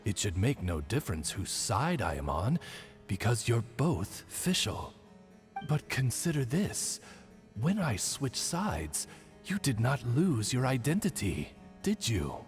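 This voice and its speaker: A man's voice: medium pitch, very animated, slow, soft background music. A man voicing a loyal, courtly talking bird in a video game, speaking formally and eloquently like a dignified sage servant. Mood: dignified, admiring. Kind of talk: theatrical video game voice acting.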